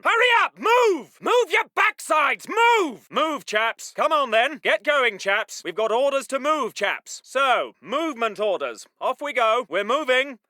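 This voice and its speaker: An actor playing a polite, plucky British Second World War army medic in a video game, with clipped, old-fashioned genteel phrasing. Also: male voice, extremely high pitch, bright, forceful tone, no echo, clean studio sound, full character voice. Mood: urgent shouting. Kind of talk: military video game unit voice lines.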